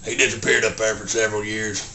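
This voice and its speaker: Halting rural Southern simpleton storyteller, forceful edge, monotone, grunting hums, film-parody style, faint background noise. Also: male voice, lowish voice, reverberant, poor audio. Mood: deadpan, plodding. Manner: halting, deadpan, grunts "hmm" between short sentences. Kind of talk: folksy storytelling, film character impression.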